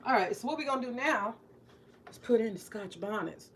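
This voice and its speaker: A woman's voice: a little high, lively intonation, brisk. Forties woman, retired teacher type, loose swearing cooking-vlog chatter, light hiss behind. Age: 40s. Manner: candid, joking, swears freely, talks to viewers. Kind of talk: casual cooking vlog chat. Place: home kitchen.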